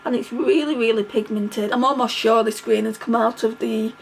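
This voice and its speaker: A woman's voice: fairly high pitch, steady tone, moderate pace, creaky, slight background noise. A middle-aged woman vlogging casually while doing her makeup, chatty and rambling, with a stuffy nose from a cold. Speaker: beauty vlogger.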